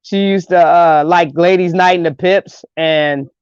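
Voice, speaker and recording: A woman with a low speaking voice, chatting as a guest on a live stream, frank and teasing. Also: fairly even intonation, a little slow, a little mellow, smooth, no echo, muffled recording. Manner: frank and teasing, laughs often. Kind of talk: casual chat.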